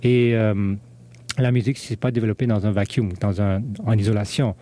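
Man, DJ guest, English speaker, hesitant, many fillers, low voice. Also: steady tone, moderate pace, warm tone. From Canada. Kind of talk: interview conversation.

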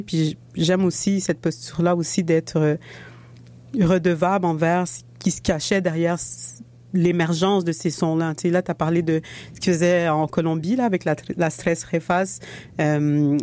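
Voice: mid-range voice, dark tone. An interviewer asking thoughtful, probing questions in a conversation.

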